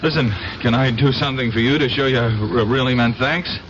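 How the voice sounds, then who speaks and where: An actor playing an earnest young newspaperman in a radio drama, talking in a halting, excitable way. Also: mid-range voice, close to an everyday voice. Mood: shaken, grateful. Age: young adult. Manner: halting, excitable, stammering under stress. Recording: noisy muffled old radio recording.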